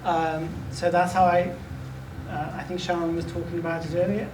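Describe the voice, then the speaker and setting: A man with a high voice gives a relaxed guest talk at an expo, stumbling over fillers and restarts as he goes. He stands well back from the mic, and his voice rings around a large hall with music in the background. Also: moderate pace. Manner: hesitant, filler-heavy, casual. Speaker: guest speaker. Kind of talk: conference talk. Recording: roomy hall, mic far off.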